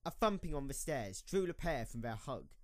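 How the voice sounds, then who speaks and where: A man's voice: medium pitch, big pitch swings, normal speed. A man narrating a scripted dramatic story as the narrator of an audio drama.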